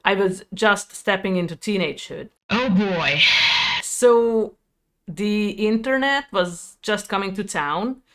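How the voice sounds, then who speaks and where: Video creator, female, thirties, Hungarian accent. Unhurried, smooth delivery for reflective personal storytelling. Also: mid-range voice, expressive. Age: 30s.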